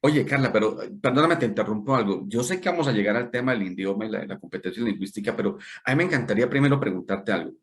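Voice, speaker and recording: Entrevistador curioso que reformula sus preguntas. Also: male voice, on the low side, animated, very fast, few pauses. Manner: inquisitive, hesitant, rephrasing his questions. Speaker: interview host. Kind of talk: conversational interview.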